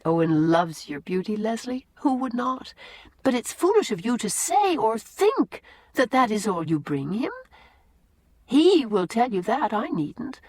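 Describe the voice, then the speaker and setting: A gentle, reassuring young woman, as a narrator reads her. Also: a little high, big pitch swings, a little slow, no room sound, exaggerated voice.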